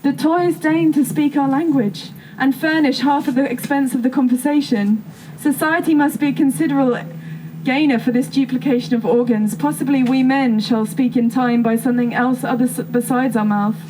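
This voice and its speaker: A woman's voice: sits high, steady tone, normal speed, darkish tone, slight background noise, poor audio. A woman giving a performed reading of an old literary tale at a live performance. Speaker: performance artist reading a story. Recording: music over an outdoor live performance. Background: music.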